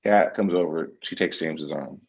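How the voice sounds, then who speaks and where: A man's voice: rumbling low, normal speed, creaky. A man reading scripted stage directions as narrator, in a slightly lo-fi recording. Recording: slightly dull, lo-fi sound.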